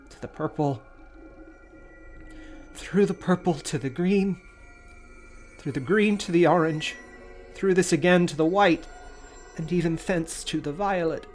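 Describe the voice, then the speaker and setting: A man hosting a podcast, giving a dramatic, expressive reading of a story. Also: fairly high pitch. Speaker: podcast host.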